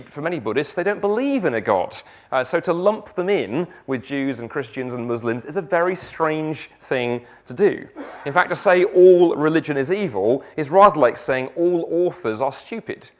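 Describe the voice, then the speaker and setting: Lively man with a South London accent. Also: on the high side. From England. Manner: lively, joking asides, rhetorical questions. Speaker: guest speaker. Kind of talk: evening church talk.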